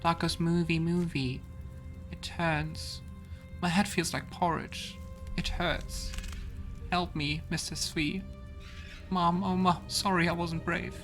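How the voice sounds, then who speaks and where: A man's voice: on the high side, fairly even intonation, fairly bright tone, soft background music, pitched above the performer's own voice. Man putting on a plaintive, frightened little child. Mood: scared, pleading. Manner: plaintive, naive, halting. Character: frightened, lost little child pleading for mother. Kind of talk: reading a found letter aloud in character in a video game let's play.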